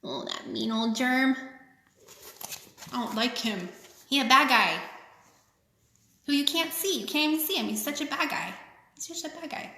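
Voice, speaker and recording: Woman, high bright voice, children's storytime read-aloud, expressive, simple child-directed phrasing, reverberant room. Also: normal speed, pauses often. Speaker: children's author reading her own book. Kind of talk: read-aloud picture book storytelling with chatty asides to children.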